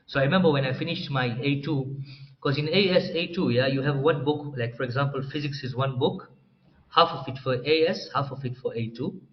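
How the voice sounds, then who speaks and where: A young man from Tanzania, a doctor training in psychiatry, explaining his career path as an interview guest in a relaxed way. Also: medium pitch, brisk. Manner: explanatory, relaxed, clears throat often. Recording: slightly dull, faint room noise.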